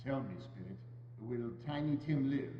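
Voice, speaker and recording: A man's voice: mid-range voice, animated, moderate pace, lightly acted. A man playing a grumpy, shaken old miser with a hesitant, querulous manner in a dramatic reading. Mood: anguished, pleading. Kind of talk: dramatic reading of a stage adaptation. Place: indoors, church. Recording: echoey church hall, dull sound, faint hum.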